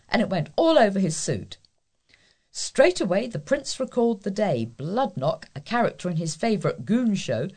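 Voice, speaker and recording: A woman's voice: mid-range voice, a little mellow. A woman volunteer reading a local news feature aloud. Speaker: volunteer newspaper reader.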